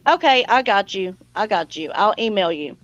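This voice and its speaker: A woman's voice: mid-range voice, expressive, moderate pace, a bit bright, no echo. A woman hosts a casual livestream chat in a mid-range voice. The sound is slightly muffled and lo-fi, as heard over an online group call. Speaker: livestream host. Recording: dull, lo-fi stream audio.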